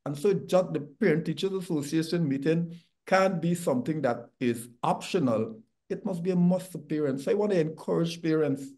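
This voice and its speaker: A man's voice: fairly high pitch, darkish tone. A middle-aged man with a Guyanese accent, speaking earnestly as a panelist on a video call. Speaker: talk show panelist.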